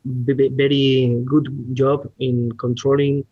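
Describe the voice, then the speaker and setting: A man with a Spanish accent explains technical matters haltingly, restarting words as he goes. His pitch is average, and the sound is dry and somewhat muffled, like a lo-fi online call. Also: level tone, no echo. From Spain. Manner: halting, searching for words with repeated starts. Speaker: R&D director, podcast guest. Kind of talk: technical presentation and discussion.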